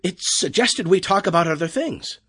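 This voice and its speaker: A man plays an anxious, deferential crewman in a science fiction story. The voice is pitched up and lively, apologetic and keen to explain. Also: moderate pace, breathy edge, dry recording, studio quality, exaggerated voice. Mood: eager, deferential. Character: nervous, deferential young crewman.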